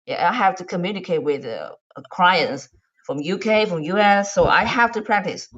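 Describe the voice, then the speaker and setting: A woman who is a native Chinese speaker with a Chinese accent, chatting casually in halting English and often repeating words. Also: on the low side, lively intonation, a bit bright. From China. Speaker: medical device regulatory consultant. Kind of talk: casual language-practice chat. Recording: dull lo-fi online call audio.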